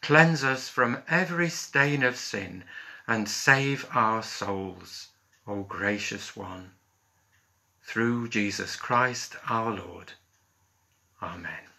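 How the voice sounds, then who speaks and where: A British man in his fifties, a minister leading worship with solemn, deliberate phrasing. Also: lowish voice, vocal fry, pushed and bright. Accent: British English. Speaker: Methodist minister. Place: small living room at home.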